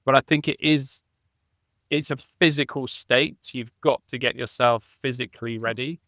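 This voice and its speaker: A man who played rugby, a podcast guest reflecting conversationally and thinking aloud with restarts, getting animated on key points. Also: medium pitch, normal speed, dry recording, clean studio sound. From England.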